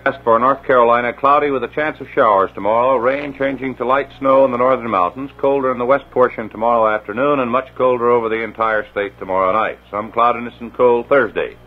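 Old-time radio news guy, formal and steady, a bit husky, dull muffled recording. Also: medium pitch. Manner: measured, formal delivery. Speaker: newscaster. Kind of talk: 1950s radio news reading.

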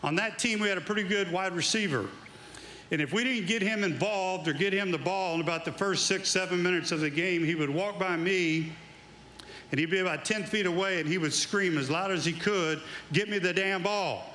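A man's voice: high-pitched, brisk, pushed and bright, reverberant. A middle-aged man, a former coach, giving an induction speech at an outdoor awards event, telling folksy stories into a handheld mic. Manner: folksy storytelling, building to emphatic tribute.

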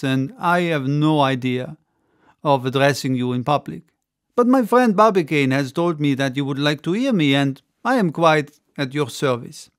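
A man voicing a cheerful, confident French adventurer giving an emphatic public speech in a storybook. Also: a little high. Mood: courteous, modest. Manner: oratorical, emphatic. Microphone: close studio microphone on desk.

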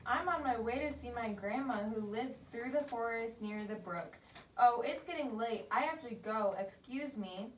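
Woman acting a sweet fairy-tale girl, childlike, earnest, raised pitch, distant roomy sound. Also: moderate pace, a little hiss or hum, close to an everyday voice. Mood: polite. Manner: earnest, wide-eyed, childlike. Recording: distant, echoey room.

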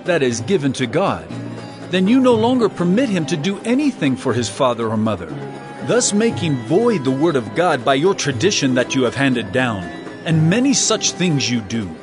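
A man's voice: on the high side, sing-song, moderate pace, music in the background. An actor playing an authoritative, reproving male teacher in a dramatized scripture reading, with emphatic, declamatory delivery. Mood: stern reproach.

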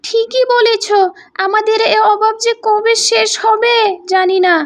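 A chatty little village girl from a cartoon. Her voice is extremely high, and she talks in a pert, spirited, childlike way. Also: steady tone, measured pace. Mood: complaining. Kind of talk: cartoon voice acting.

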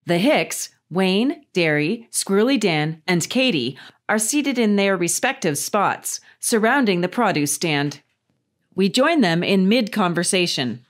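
A woman's voice: medium pitch, expressive, unhurried. A woman reading screenplay stage directions as scripted narration.

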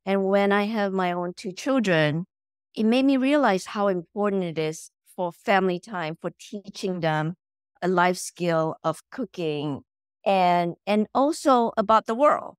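A woman's voice: mid-range voice, unhurried, clean tone, dry recording, studio quality. Malaysian-born woman on a video call. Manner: animated, repeats words when starting sentences. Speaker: guest, company founder. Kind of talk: casual interview chat. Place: home room, video call.

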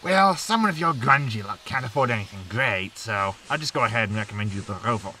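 A man's voice: mid-range voice, animated, quick pace, bright, forceful tone, far from the mic, slight background noise. An actor playing a smarmy, irritable male used-spaceship salesman in a sci-fi comedy audio drama.

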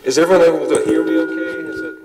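Man lecturing loudly in a reverberant hall. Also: on the high side, vocal fry. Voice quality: loud voice. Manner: halting, many ums and restarts. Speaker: cardiologist giving a public talk. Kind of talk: public health lecture. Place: large hall with audience.

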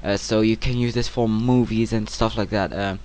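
A man narrating a casual software tutorial in hesitant, informal, non-native-sounding English. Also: fairly low pitch, normal speed. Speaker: tutorial presenter. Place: home computer screen-recording voice-over. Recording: clear steady background noise.

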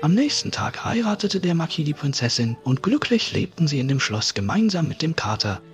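A man's voice: on the high side, big pitch swings, fairly quick. A man narrating a scripted fairy tale for a podcast. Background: music.

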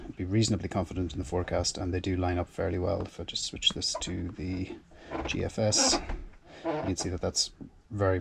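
A man's voice: deep voice, creaky, slight background noise. A solo sailor, a man, explains his plans in a casual, methodical way, thinking aloud and often repeating words, from a small boat. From Ireland. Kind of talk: casual explanatory vlog narration. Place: small boat cabin and engine bay.

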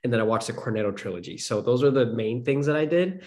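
A male actor on a video call, talking in a relaxed, playful, self-described goofy way. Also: mid-range voice, quick pace.

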